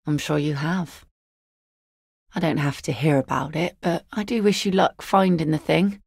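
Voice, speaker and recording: A woman's voice: fairly low pitch, gravelly, darkish tone, no room sound, heavily acted. An actress playing a weathered net picker and mother in a video game, dry and plain-spoken. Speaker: fisherwoman and mother.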